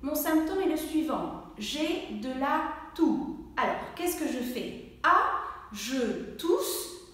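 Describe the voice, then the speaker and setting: A woman teaching a language lesson, speaking slowly and clearly, acting out coughs and sneezes and encouraging the viewer directly. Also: high-pitched, expressive, fairly bright tone. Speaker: French teacher. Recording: very echoey room, voice from far off the mic.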